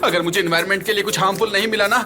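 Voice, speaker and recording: A man playing an anxious, excitable young office employee in a dubbed TV drama, agitated and repeating questions insistently. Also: sits high, rapid-fire, music in the background, close to an everyday voice. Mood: flustered panic.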